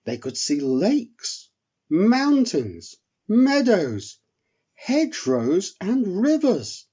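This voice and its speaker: A man reading a children's story as narrator, in a measured, expressive way. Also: high voice, breathy edge, lo-fi sound. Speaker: storyteller, author reading own work.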